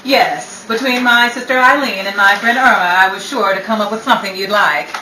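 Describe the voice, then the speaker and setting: A woman's voice: medium pitch, brisk, sharp tone, natural voice. A woman playing a flustered but determined house seller, eager to please, in 1940s radio comedy style, theatrical and prone to stammering. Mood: determined, salesy. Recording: roomy, distant mic, hiss and crowd murmur.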